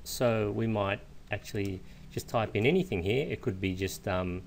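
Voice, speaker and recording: A man giving an informal, step-by-step software walkthrough, explaining as a screencast instructor. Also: lowish voice, moderate pace, gravelly, slight background noise. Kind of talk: step-by-step screencast tutorial narration.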